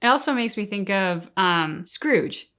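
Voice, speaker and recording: A woman's voice: medium pitch, lively intonation, measured pace, sharp tone. A woman co-hosting a reaction show, talking casually and reflectively in an earnest, thinking-aloud manner.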